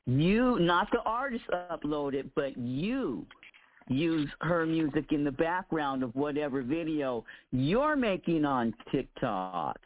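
A man's voice: fairly high pitch, sing-song, slow. A man co-hosting a radio show, patiently explaining and repeating himself. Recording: tinny phone-like line.

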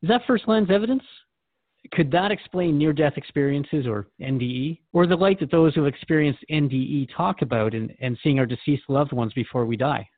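A man's voice: fairly high pitch, a little fast, no room sound, narrow, tinny audio, natural voice. A man playing a curious, mildly skeptical radio interviewer in a scripted drama, thoughtful and conversational. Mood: inquiring. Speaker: radio host.

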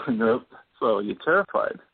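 A man's voice: on the low side, big pitch swings, unhurried, vocal fry, full character voice. A man acting a casual, teasing best friend in a radio play, familiar and probing. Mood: teasing, curious. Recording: noisy, tinny phone-like audio.

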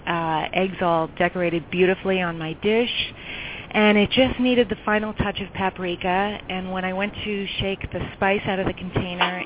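Low-voiced woman on a phone line. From New York. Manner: chatty storytelling with many ums. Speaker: guest entrepreneur. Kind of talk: round-table interview. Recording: tinny phone line with faint noise.

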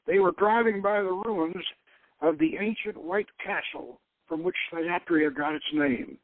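A man's voice: high voice, dry recording, narrow, tinny audio. A man reading a novel aloud in a dramatic narrating style, sometimes stumbling and repeating a phrase. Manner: stumbles over words and rereads phrases. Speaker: host reading his own novel aloud.

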